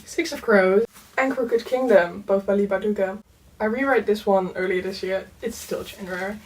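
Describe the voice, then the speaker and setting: A young woman whose first language is Dutch, chatting casually in a book vlog, full of "um" and "like" and soft laughs. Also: medium pitch, normal speed, recorded from a distance, poor audio. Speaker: book vlogger. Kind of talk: casual chat.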